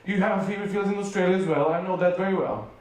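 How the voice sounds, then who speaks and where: A man's voice: sits high, quick pace. A man from Serbia with a Serbian accent, preaching a humorous sermon to a youth rally and acting out the dialogue in his stories. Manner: animated comic storytelling, acts out dialogue. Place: large hall with a youth audience. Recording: echoey hall, voice from far off.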